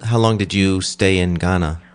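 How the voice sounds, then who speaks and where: A man hosting a radio interview program, introducing the show and asking questions in a calm, steady announcing manner. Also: low voice, moderate pace. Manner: calm, steady announcing, with brief back-channel replies. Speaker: radio host.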